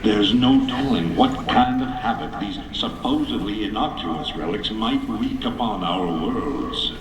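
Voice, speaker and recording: A man playing a stern, grandiose authoritarian emperor, giving a formal, measured address in an imperious manner. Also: on the low side, natural voice. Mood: threatening. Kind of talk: formal televised political address. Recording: echoey public address with crowd noise.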